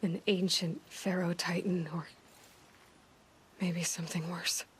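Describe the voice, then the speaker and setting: Young warrior woman from a video game, lowish voice, soft n breathy, takes her time, lonely but resolute, music behind. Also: little pitch movement, full character voice. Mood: somber, reflective. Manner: soft, breathy, halting, reflective. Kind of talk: video game character monologue. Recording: studio game dialogue over background music.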